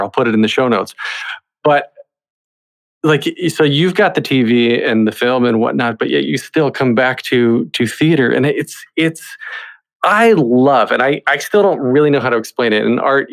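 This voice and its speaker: Male interviewer for a podcast, mid-pitched voice, wordy and effusive in an informal conversational style.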